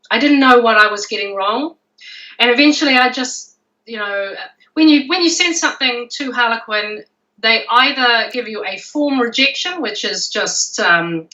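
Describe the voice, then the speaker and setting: A woman with a bright, slightly high voice chats casually about her writing career. She often restarts and repeats words as she gathers her thoughts. She sits back from the mic on a video call, so she sounds a little distant. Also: lo-fi sound. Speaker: romance author guest. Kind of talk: casual livestream chat.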